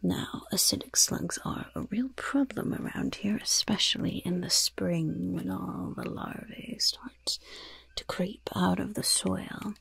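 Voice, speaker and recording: A woman voicing a mischievous goblin shopkeeper in a breathy whisper, with coy, unhurried sales patter in an ASMR roleplay. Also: on the low side, a bit bright, full character voice. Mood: coy, chatty. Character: mischievous female goblin shopkeeper selling poisons and curses.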